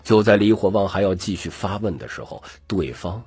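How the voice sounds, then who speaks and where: A man's voice: deep voice, expressive, a little fast, darkish tone, breathy edge. A man narrating an audiobook in a scripted, dramatic storytelling style. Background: music.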